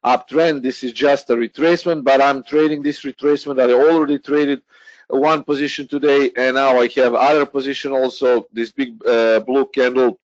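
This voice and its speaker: A man's voice: mid-range voice, steady tone, moderate pace, dry recording. Hesitant male trader walking through charts, lo-fi dry webinar sound. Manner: hesitant, many "uh" fillers, walks through a chart. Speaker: day trader. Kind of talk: explaining trading charts. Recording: dull lo-fi webinar audio, dry.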